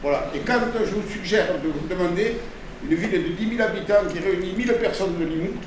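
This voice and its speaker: Man, high-pitched voice, oratorical tribute speech, distant mic, noisy echoing auditorium. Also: fast talker. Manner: oratorical, repeats phrases for emphasis. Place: auditorium, live event. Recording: echoey auditorium, distant mic, clear noise.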